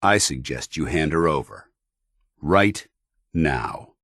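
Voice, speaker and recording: An American actor playing a stern, principled military paladin in a video game, with clipped, formal, authoritative diction. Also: male voice, rumbling low, animated, slow, fairly bright tone, husky, dry recording, studio quality, exaggerated voice. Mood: tense, commanding. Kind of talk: video game companion dialogue.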